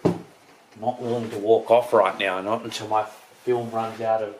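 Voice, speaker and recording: Low-voiced male ghost hunter, noisy recording. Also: level tone, normal speed. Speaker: paranormal investigator, vlog host. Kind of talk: ghost-hunting vlog, on-the-spot narration and calling out to spirits. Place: inside an empty, derelict house. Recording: noisy handheld camera audio with clicks.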